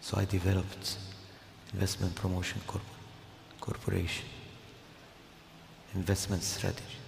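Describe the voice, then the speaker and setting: An older man from Jordan giving a formal speech at an event, slow and measured, with pauses. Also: low-pitched, flat delivery, creaky, slight background noise. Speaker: guest speaker. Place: large hall. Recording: echoey hall, heard from a distance.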